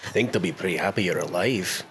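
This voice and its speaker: A man playing a tabletop game who hesitates and fills his pauses with um. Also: low-pitched, a bit bright. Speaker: player.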